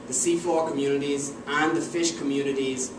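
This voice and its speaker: A man's voice: mid-range voice, steady tone, normal speed. Young man from the Bahamas presenting in an echoey lecture room. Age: young adult. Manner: earnest, emphatic, standing with open gestures. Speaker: PhD student presenter. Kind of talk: memorized competition talk. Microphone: far camera, no visible mic. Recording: echoey room from far off, air-conditioning hum.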